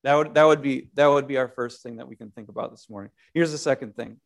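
A man preaching an Easter sermon as pastor, animated, moving between loud proclamation and quiet, self-deprecating conversational asides. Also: medium pitch, quick pace, vocal fry. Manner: animated preaching with wide swings in loudness.

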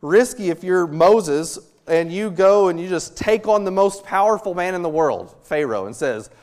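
A man preaching a sermon as a missionary, animated and acting out little scenes with humor. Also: high voice, moderate pace. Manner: animated, acts out quotes with humor.

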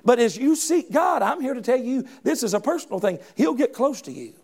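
Middle-aged male preacher, high and gravelly voice, fervent and folksy, frequent restarts. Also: very animated, a little fast, darkish tone. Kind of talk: sermon.

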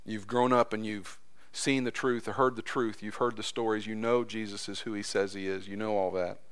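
A man preaching a sermon in a church, impassioned, his voice climbing high as he acts out quotes, then dropping low and soft. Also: level tone, moderate pace, clear, resonant tone. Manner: impassioned, voice rising high when acting out quotes. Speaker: pastor.

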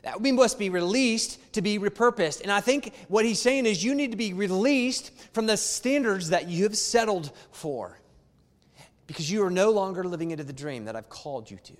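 A male pastor with a notably high voice preaches in a church whose long reverb trails after his words. He is emphatic and conversational, hammering key phrases through repetition and tossing quick asides to the congregation. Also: lively intonation. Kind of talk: sermon. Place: church sanctuary.

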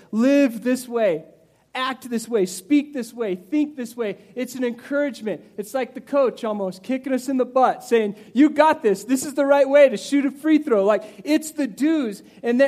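A man in his forties preaching a sermon in a conversational, animated way, with asides to the listeners and some restarts. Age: 40s. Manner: animated, conversational preaching with rises in pitch and volume. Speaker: pastor.

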